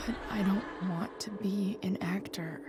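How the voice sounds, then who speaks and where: An actress playing a guarded, sardonic teenage girl in a naturalistic video game drama, speaking in a dry, halting way. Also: medium pitch, steady tone, noisy background, natural voice. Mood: weary, vulnerable.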